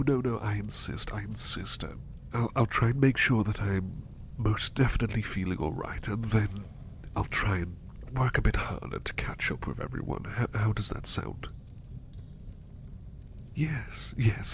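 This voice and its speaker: A man voicing an ill, devoted butler in an ASMR roleplay, talking softly in a whispery, affectionate way as he grows sleepy. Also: fairly low pitch, creaky, slight background noise, exaggerated voice. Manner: soft, whispery, affectionate, repeats phrases. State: sick and sleepy. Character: devoted, gentle male butler, ill in bed.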